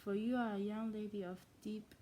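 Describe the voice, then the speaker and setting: A woman playing a dry, teasing older gentleman from a classic novel, in a clumsy put-on German and then Scottish accent, stumbling and restarting words. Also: mid-range voice, steady tone, normal speed, a little hiss or hum, lightly acted. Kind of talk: amateur read-aloud of a classic novel.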